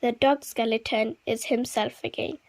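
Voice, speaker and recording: A woman reading a children's story aloud expressively, with deliberate, dramatic emphasis on repeated words. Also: a little high, fairly even intonation. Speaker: children's book reader.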